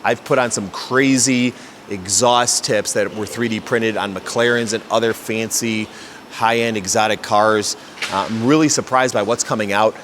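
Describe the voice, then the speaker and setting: A man's voice: lowish voice, a bit bright, a little hiss or hum. A man hosting a casual question-and-answer explainer, talking in a conversational, explanatory way like a shop fabricator. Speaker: host, exhaust shop fabricator.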